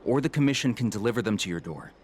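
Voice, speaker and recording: A man's voice: on the low side, animated, rapid-fire, slight background noise, lightly acted. A man voicing a weary, overworked clerk in a video game. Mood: tired, grumbling. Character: weary, overworked male commission worker.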